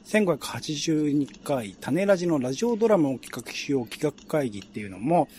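A man's voice: a little high, very animated, moderate pace, a little mellow, a little hiss or hum. A man talking casually and conversationally as co-host of a radio show. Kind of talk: casual chat.

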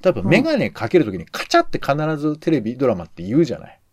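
A man's voice: mid-range voice, wildly swooping pitch, racing, husky, no echo, clean studio sound. A man co-hosting a podcast, giving his commentary in casual conversation. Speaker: podcast co-host.

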